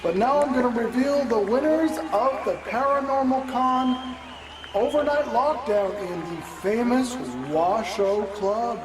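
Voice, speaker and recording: A man's voice: very high voice, a little slow, recorded from a distance, noisy background. Man playing a chatty puppet convention host. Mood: cheerily official. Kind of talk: improvised puppet comedy announcements. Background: music.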